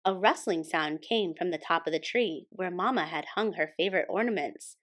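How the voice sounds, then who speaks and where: A woman hosting a storytime and reading a children's picture book aloud as expressive scripted narration. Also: lowish voice, moderate pace. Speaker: storytime host and reader.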